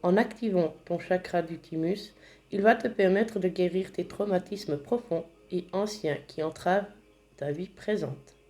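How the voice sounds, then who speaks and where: Mellow-voiced woman reading oracle cards. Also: lowish voice. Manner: slow, gentle, reads card texts aloud. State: slightly congested from a cold. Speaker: oracle card reader. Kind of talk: spiritual card reading to viewers.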